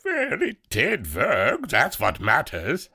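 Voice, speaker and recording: A man playing an earnest, excitable gadget inventor, precise and theatrical, in an old-time radio adventure. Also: medium pitch, slow pace, creaky, breathy edge, exaggerated voice. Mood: focused. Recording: very noisy, with clicks.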